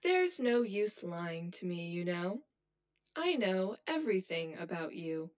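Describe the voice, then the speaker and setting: Actress as a slow, taunting, superior inner double. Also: female voice, lowish voice, sing-song, slight background noise. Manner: precise, clinical, condescending. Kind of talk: scripted audio drama acting.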